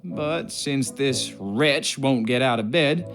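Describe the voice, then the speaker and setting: An actor playing a gruff, mocking bosun on a merchant ship in a period drama game. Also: male voice, medium pitch, takes it slowly, fairly bright tone, faint music behind. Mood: brisk, businesslike. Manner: barking, taunting, commanding. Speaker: actor in a narrative adventure game.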